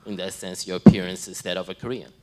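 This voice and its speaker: Low-voiced man interpreting into English. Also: little pitch movement, quick pace, warm tone.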